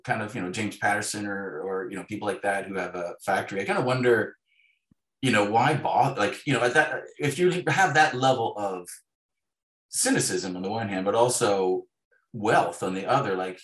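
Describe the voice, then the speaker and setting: A man in his fifties speaking as an author being interviewed, in a thoughtful, halting way with repeated words. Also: lowish voice, a bit bright. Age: 50s. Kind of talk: reflective conversational interview. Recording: echoey, roomy sound.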